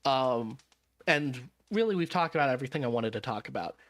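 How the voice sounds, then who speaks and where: A man's voice: mid-range voice, animated, a little fast, fairly bright tone, no echo, clean studio sound. Tired, rambling male streamer. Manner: rambling, self-correcting, wry. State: tired, jet-lagged. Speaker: vtuber streamer. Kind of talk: casual stream chat.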